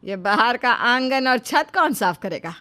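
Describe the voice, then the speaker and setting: Woman as a nagging, high-voiced older mother-in-law. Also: expressive, a little slow, sharp tone. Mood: bossy. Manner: taunting, bossy, interrogating. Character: stern, nagging, fault-finding older village mother-in-law. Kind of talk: dramatized Hindi moral story.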